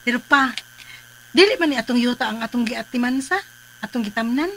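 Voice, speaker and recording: Worried farmer's daughter, young adult, Cebuano Filipino accent, high voice, radio drama, faint background noise. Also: female voice, expressive, a little fast, a bit bright, rough recording. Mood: gently questioning. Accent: Filipino, Cebuano-speaking. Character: worried 32-year-old married farmer's daughter telling her story.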